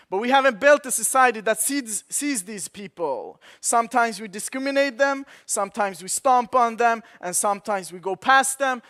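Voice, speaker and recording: A young man with a Swedish accent delivers a passionate keynote in a high, bright voice. His tone is smooth and clear, and he drives his points home with repeated rhetorical questions. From Sweden. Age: 20s. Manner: impassioned, rhetorical repetition. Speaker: conference speaker. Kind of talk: motivational keynote speech. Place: conference hall.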